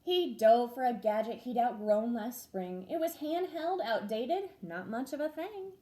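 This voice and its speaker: Expressive, sing-song teacher reading aloud. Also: female voice, a little high, measured pace. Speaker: first grade teacher. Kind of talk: reading a rhyming picture book aloud.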